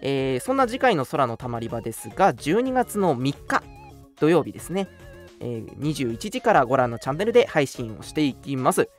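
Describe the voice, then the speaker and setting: A man's voice: medium pitch, sing-song, fairly quick, soft background music. A young man hosting a casual radio show, chatting politely with some self-deprecation. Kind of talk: casual radio chat and announcements.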